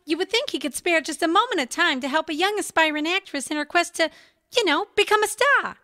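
An actress playing a young, eager would-be starlet with a plaintive, gushing manner. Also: female voice, very high-pitched, lively intonation, a little fast, sharp tone, no echo, studio quality, exaggerated voice. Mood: whining.

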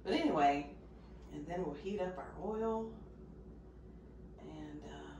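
A middle-aged woman casually talking through a cooking demonstration in her home kitchen, unhurried and unsure of herself in little asides. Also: gravelly, lo-fi sound. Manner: unhurried, self-doubting asides, lively pitch. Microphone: far camera. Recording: echoey kitchen, camera far off, background noise.

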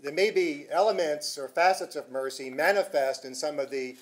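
A middle-aged man moderating a conference session at a podium, speaking in a measured, hesitant way with restarts. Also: a little high. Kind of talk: formal commentary and introduction. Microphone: podium microphone.

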